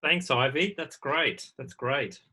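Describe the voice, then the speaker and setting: A man with a very bright, forward voice hosts a webinar Q&A on a video call. His delivery is informal and a little halting as he passes questions along, at a middle pitch. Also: lively intonation. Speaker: moderator of an online panel. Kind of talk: hosting a live webinar Q&A.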